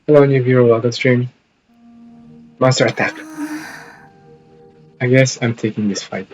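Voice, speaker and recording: A man's voice: medium pitch, steady tone, a little slow, lo-fi sound. A man doing casual live stream commentary on a video game, with offhand reactions and some game lines read aloud. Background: faint game music.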